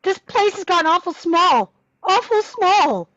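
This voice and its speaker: A woman at a film-script table read playing a panicky, claustrophobic teenage boy who complains and frantically shouts. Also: extremely high pitch, animated, measured pace, no echo, highs cut off, exaggerated voice. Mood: anxious complaining.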